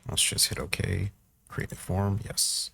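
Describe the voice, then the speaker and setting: A man with a low, creaky voice talks through a software tutorial at a slow pace. He is informal and thinks aloud as he works, recorded close on the mic. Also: fairly even intonation. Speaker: tutorial presenter. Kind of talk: casual screen-recorded software walkthrough.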